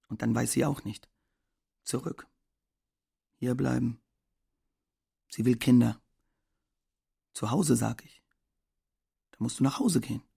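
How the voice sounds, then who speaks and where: An actor playing a disillusioned, stubborn migrant man of about thirty, gravelly and offhand, in an audio drama monologue. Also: medium pitch, mellow, full character voice. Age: around thirty. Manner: offhand, shrugging, conversational.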